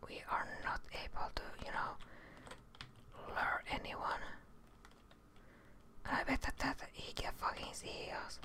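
A young woman whispering ASMR commentary over a video game, halting as she muses to herself. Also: pushed and bright. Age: young adult. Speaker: gaming ASMR host.

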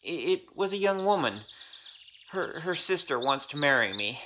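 A woman's voice: sits low, very animated, moderate pace, fairly bright tone, muffled recording, a little hiss or hum. A woman playing a glib young male gambler in an audio drama, flippant and wheedling. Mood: wheedling, nervous. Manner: wheedling, flippant, hesitant when cornered.

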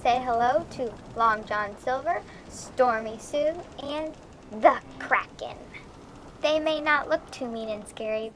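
A woman doing a high bubbly dog narrator. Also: slight background noise, low-quality recording. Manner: bubbly, punny, pirate-talk. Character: cheerful young dog narrating her boat life. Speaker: vlog narrator. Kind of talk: scripted playful narration. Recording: music playing under the voice. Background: music.

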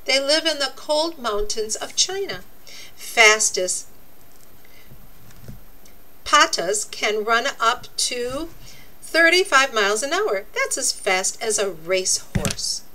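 A woman reads a children's nonfiction book at an unhurried pace. Her fairly high voice swings widely in pitch, and she phrases things deliberately, like a teacher. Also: rough recording. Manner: deliberate, expressive teacherly reading. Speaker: narrator reading a children's book aloud. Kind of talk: read-aloud children's nonfiction. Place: indoors, book laid open before a camera.

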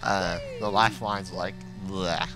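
A man chatting casually as a gaming co-host in a hesitant, halting way. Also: sits low, little pitch movement, over music. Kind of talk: casual game race chat.

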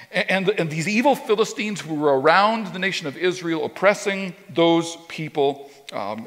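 A middle-aged man preaching from a church stage, his voice pitched a little high for a man and carried by a long hall reverb. He speaks in a loose, conversational way, stumbling into restarts and repeated words as he works through his point. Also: bright, forceful tone. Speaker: pastor. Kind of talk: sermon. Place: church stage with a drum kit behind, indoors.

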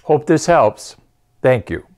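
An older man in his sixties teaches in an informal lecture style, with a medium-pitched voice. He explains things point by point like an instructor at a chalkboard, often checking that the listener follows. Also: big pitch swings, takes it slowly, a little mellow. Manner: explanatory, punctuates points with "okay". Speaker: acoustics company presenter and instructor. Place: indoor studio, at a chalkboard.

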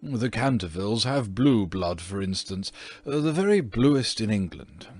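A man's voice: fairly low pitch, very animated, a little slow, darkish tone, slightly rough, full character voice. Male reader as old aristocratic English ghost, pompous, indignant, petulant, theatrical storybook delivery. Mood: meek, defensive. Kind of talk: storybook character reading.

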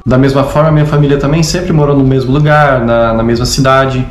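A man's voice: mid-range voice, fairly even intonation, brisk, a little hiss or hum. A man in his thirties with a southern Brazilian accent from Rio Grande do Sul, casually telling his story as a vlogger. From interior of Rio Grande do Sul, Brazil. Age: 30s. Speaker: vlogger, co-host of a couple's travel channel. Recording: roomy echo, music behind.

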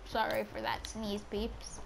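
A woman's voice: a little high, monotone, unhurried, gravelly, background noise. A woman chatting casually and playfully over gameplay as a channel host, rambling informally.

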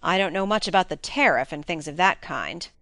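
A woman acts out a blunt, plain-spoken gentleman from a classic storybook. The delivery is emphatic and colloquial, swinging widely in pitch. The recording is close and dry. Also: animated, a little fast, dry recording. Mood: blunt, impatient. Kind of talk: acted audiobook dialogue.

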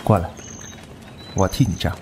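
A man playing a calm, commanding martial-arts lord in a wuxia audio drama, terse and composed. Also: deep voice, moderate pace, background noise. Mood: quietly tender.

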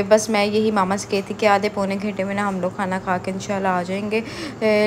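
A young woman talks casually into a close phone mic, her plain and her delivery even and unhurried. She leans on filler phrases as she chats through her salon work. A clear hum of room ambience sits under her voice. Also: medium pitch. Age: young adult. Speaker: salon owner and beauty vlogger. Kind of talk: casual vlog narration.

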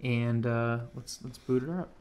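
A man's voice: mid-range voice, unhurried, a little mellow, a little hiss or hum. A man with an American accent giving a laid-back, informal tech demonstration as a hobbyist. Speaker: hobbyist presenter of an electronics project. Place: indoors at a home computer desk.

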